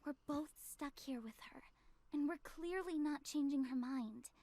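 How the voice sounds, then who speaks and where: Visual novel role: timid, earnest young woman played by an actress, very high voice, pleading peacemaker. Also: unhurried, dark tone. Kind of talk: visual novel game dialogue.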